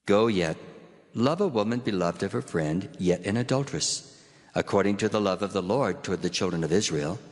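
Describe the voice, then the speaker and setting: Deep, reverberant voice of God, acted by a man. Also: fairly quick, lightly acted. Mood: solemn command. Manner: solemn, stately. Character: God, commanding solemn male divine voice. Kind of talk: dramatized scripture reading. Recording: long cavernous reverb, music underneath. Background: music.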